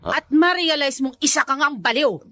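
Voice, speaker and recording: Shrill, very high, bright stepmother who snaps at her lover. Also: animated, moderate pace, dry recording, full character voice. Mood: furious. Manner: shrill, scolding. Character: sharp-tongued scolding stepmother woman. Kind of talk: radio drama acting.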